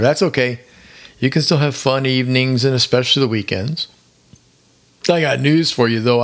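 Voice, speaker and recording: A retired man in his sixties hosting his own podcast, hoarse from singing, chatting in a rambling, easygoing storyteller manner. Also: medium pitch, fairly bright tone. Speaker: podcast host. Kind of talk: casual solo podcast monologue.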